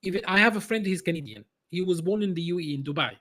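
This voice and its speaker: A man answering interview questions in a halting way, with many restarts and repeated words. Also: high voice, bright, forceful tone. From Egypt. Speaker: business consultant guest.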